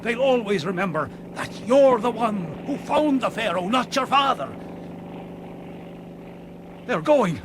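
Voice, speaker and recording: Radio drama villain: greedy, coaxing tomb robber, very animated delivery over clear background noise. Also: male voice, very high voice, unhurried, long pauses. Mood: urgent, excited. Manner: cajoling, dismissive, manipulative. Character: scheming, persuasive tomb-robbing man, ruthless and greedy.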